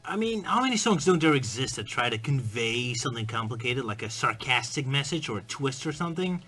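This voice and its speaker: A man with a bright, forceful voice talks through his thoughts in a relaxed, conversational way. Faint music plays underneath his commentary. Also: mid-range voice, brisk, breathy edge. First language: Dutch. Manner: musing, conversational, bursts into playful mock singing. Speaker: video essay host. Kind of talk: casual spoken commentary.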